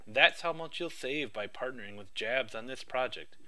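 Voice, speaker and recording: A man giving a rehearsed project pitch with an upbeat sales-pitch delivery. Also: expressive, moderate pace, sharp tone.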